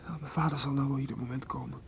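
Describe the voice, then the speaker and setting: A man's voice: mid-range voice, steady tone, very fast, vocal fry, faint music behind, lightly acted. An actor in a radio play voicing a remorseful young male student who stammers through a tearful confession. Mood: subdued. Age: young adult. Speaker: student questioned by police.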